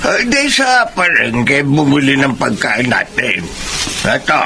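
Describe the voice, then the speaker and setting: An actor playing an easygoing, unhurried elderly grandfather in a Filipino radio drama. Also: mid-range voice, sing-song, heavily acted. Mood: casual. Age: older. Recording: clear background noise.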